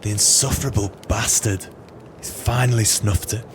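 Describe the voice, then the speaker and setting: A man's voice: lowish voice, moderate pace, background noise, close to an everyday voice. An actor playing a cold, contemptuous, scheming male swindler in an audio play. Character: callous, scheming male swindler, feigning kindness to dying sailor.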